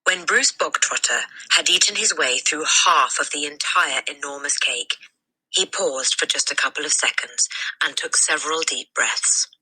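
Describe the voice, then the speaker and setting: A woman reading a children's story aloud as narrator, in an expressive storytelling style. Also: deep voice, moderate pace, slightly rough, rough recording. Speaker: storybook reader.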